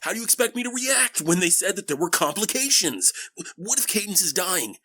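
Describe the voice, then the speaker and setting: A woman's voice: mid-range voice, sing-song, brisk, a bit bright, slight background noise. A woman voicing an anxious, doting young father pony prince who gets fretful and flustered. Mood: panicked. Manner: fretful, stammering when flustered. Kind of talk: animated audio drama acting. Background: music.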